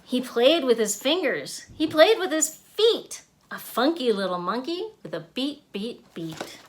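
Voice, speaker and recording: Female storybook reader, high and very animated, unhurried delivery, expressive with rhymes and sound effects. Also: a little hiss or hum. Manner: expressive, emphatic sound words. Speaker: author and illustrator reading own book. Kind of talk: read-aloud of rhyming children's picture book.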